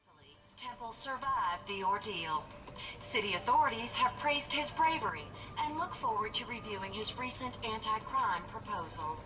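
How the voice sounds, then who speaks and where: An actress playing a formal television newsreader in a game cutscene. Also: female voice, fairly high pitch, animated, moderate pace, lightly acted. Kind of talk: scripted news reading in a game. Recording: distant echoey television, music behind.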